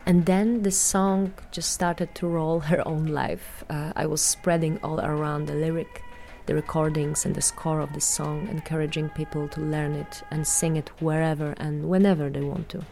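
Low, creaky Polish-accented woman. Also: level tone, moderate pace, few pauses, warm tone, soft background music. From Poland. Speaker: artist, vocal performer and voice coach. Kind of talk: prepared spoken statement.

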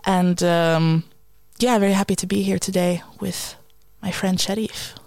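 A woman's voice: fairly low pitch, steady tone, a little slow, gravelly, darkish tone, no room sound. Earnest woman speaking in a dry room. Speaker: guest. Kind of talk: reflective conversation.

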